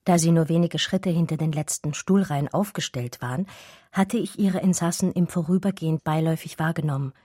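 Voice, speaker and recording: Radio drama lead: romantic female intellectual, lowish voice, breathy edge, measured and intimate storytelling. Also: dark tone, no echo, clean studio sound. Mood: fascinated. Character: romantic, daydreaming female art historian recalling an infatuation. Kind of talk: radio drama first-person narration, literary.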